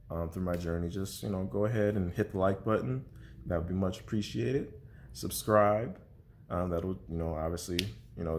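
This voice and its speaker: A young man with a very low voice, stuffy and congested, chats loosely to his camera. He rambles with many fillers and restarts, and a faint hiss sits under the recording. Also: darkish tone. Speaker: vlogger. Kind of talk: casual vlog chat to camera.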